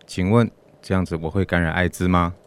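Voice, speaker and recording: A man's voice: deep voice, steady tone, fairly quick, clean tone. A man voicing an anxious young man confessing in a radio drama reading of a listener's question. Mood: worried.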